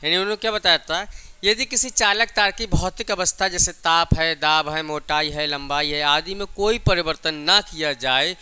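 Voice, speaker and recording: A male teacher delivers a lecture in a very bright, crisp and fairly high voice. He dictates definitions, repeats key phrases, and poses questions that he answers himself. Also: moderate pace. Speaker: physics teacher.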